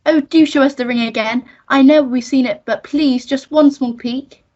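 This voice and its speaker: A prim upper-class English schoolgirl voiced by a woman in a comic radio melodrama. She speaks in a high register, fussy and gushing, ready to scold or correct. The recording is somewhat dull. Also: steady tone, normal speed, a little mellow. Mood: gushing, excited.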